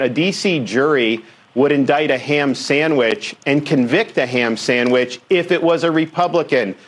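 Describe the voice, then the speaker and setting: Assertive man making a political statement. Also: medium pitch. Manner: assertive, combative. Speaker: politician in a played clip.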